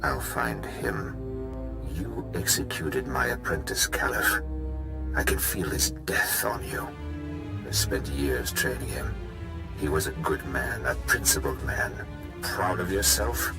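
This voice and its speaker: An actor playing a bitter, vengeful fallen Jedi master, speaking with cold menace in a game cutscene. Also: male voice, a bass voice, big pitch swings, slow pace, gravelly, exaggerated voice. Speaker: villain in a video game cutscene. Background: game score music.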